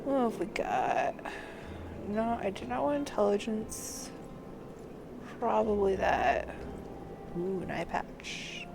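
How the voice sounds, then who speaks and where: A woman's voice: medium pitch, very animated, takes it slowly, gravelly, pauses often, background noise. A woman game streamer talking through a walkthrough, reading on-screen text aloud and muttering to herself in between.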